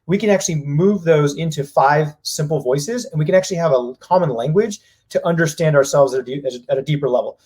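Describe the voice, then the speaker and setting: Brief: husky male exec, rapid energetic delivery, voices people's reactions. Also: a little high. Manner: fast, energetic, acts out what people say. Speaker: company president. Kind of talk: business live-stream discussion.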